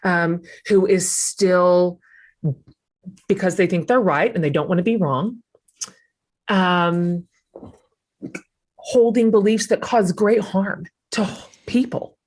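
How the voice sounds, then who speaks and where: A woman's voice: on the low side, darkish tone. Reflective woman hosting a podcast. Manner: reflective, thinks aloud with many pauses and fillers. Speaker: podcast host. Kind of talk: conversational podcast interview.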